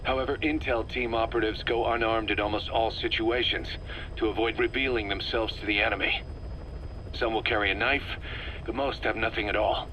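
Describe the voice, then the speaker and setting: An actor playing a stern, suspicious military commander in a video game, giving briefings in an emphatic, clipped way. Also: male voice, fairly low pitch, steady tone, a little fast, pushed and bright, slight background noise, poor audio. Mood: firm, instructive.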